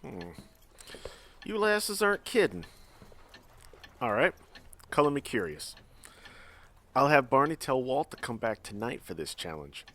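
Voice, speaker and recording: A man's voice: mid-range voice, very animated, unhurried, long pauses, a bit bright. A man playing a skeptical but jovial Old West mine boss in an audio drama, folksy and a little condescending. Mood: intrigued.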